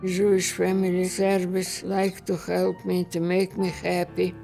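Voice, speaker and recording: An elderly woman, slowly and heartfelt, in simple broken English. Her voice has a gravelly, rough edge. Soft music plays faintly under her. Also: fairly low pitch, monotone. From former Soviet Union. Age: 80s. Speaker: elderly client of a family service. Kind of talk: personal interview.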